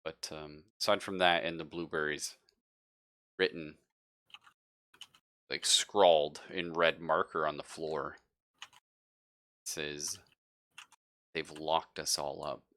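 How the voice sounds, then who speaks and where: A man running a tabletop horror roleplaying game, narrating scenes off the cuff in a casual, halting way. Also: a bass voice, little pitch movement, a bit bright. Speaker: game master.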